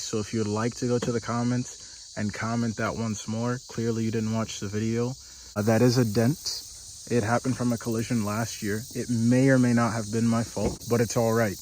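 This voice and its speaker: A man giving a casual tour of the car he lives in, speaking in a flat, deadpan monotone with dry humor. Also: medium pitch, a little hiss or hum, rough recording. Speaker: vlogger living in his car. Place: inside and around a parked car outdoors.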